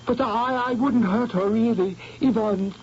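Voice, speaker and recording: An actor playing a desperate, volatile young painter who talks in frantic, broken phrases, in a 1970s radio drama. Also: male voice, extremely high pitch, unhurried, creaky, exaggerated voice. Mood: remorseful. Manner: frantic, pleading, breaking off mid-phrase. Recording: dull old radio broadcast, faint hiss.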